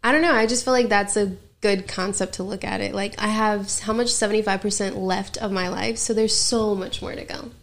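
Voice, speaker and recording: A woman's voice: mid-range voice, fairly even intonation, fairly quick, hardly any pauses. A woman in her twenties from North Carolina, a guest on a podcast, talkative and enthusiastic. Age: 20s. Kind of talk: casual podcast chat.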